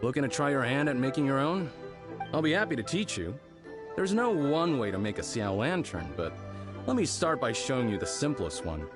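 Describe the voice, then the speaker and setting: An actor playing a friendly, patient, easygoing craftsman in a video game, encouraging, with thoughtful pauses. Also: medium pitch, big pitch swings, lightly acted. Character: friendly, patient male festival lantern craftsman. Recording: music behind the voice.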